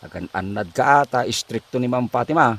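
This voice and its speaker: A woman's voice: extremely low pitch, fairly quick. A woman with an Ilocano accent playing a friendly, gossipy household driver in a comedy drama. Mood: gossipy, warning. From Philippines.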